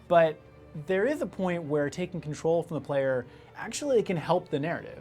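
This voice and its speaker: A man's voice: a little high, expressive, normal speed, a little mellow. A man who develops games, talking casually in an interview, thinking aloud with frequent "you know". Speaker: game developer being interviewed. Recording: music behind the voice. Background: background music.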